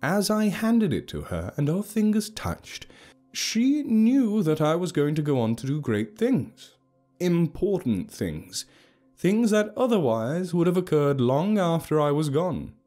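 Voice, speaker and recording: Storybook elder, played by a male narrator: grand and cheerful, raised pitch, slow ceremonial oratory, music underneath. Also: a little mellow. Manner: slow, oratorical, emphatic delivery. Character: grand, cheerful old leader addressing his gathered tribe.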